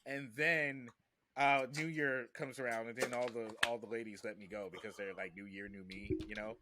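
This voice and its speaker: A man's voice: medium pitch, steady tone, normal speed, heavy background noise. A man chatting casually as a comedy podcast host, often repeating himself as he builds a point, with profane slang. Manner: stammering repetition, profane slang.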